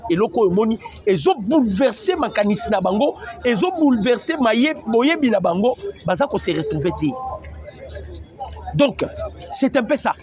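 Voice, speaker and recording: A man's voice: high-pitched, sing-song, normal speed, crowd noise. A man with a Congolese accent giving emphatic political news commentary, repeating phrases for effect. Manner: emphatic, repeats phrases, mixes French and Lingala.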